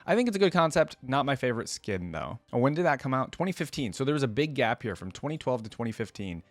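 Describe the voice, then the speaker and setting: A man's voice: mid-range voice, big pitch swings, quick pace, no room sound. Man reacting casually, close dry sound. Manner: informal, thinking aloud. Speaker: video host reacting to game content. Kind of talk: casual off-the-cuff reaction commentary.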